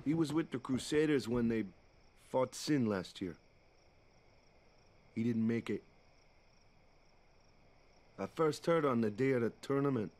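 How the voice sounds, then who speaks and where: An actor playing an easygoing, big-brotherly young island ballplayer in a video game, talking in a casual, folksy way. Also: male voice, medium pitch, unhurried, long pauses, a little mellow, natural voice. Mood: subdued, confiding. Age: young adult. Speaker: guardian and older friend. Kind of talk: video game cutscene acting.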